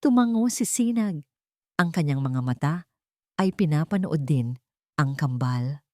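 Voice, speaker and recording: A woman narrates a dramatic story in a low voice. She speaks in short, measured phrases with deliberate phrasing. The recording is dry and close, with no room sound. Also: animated, dark tone, no echo.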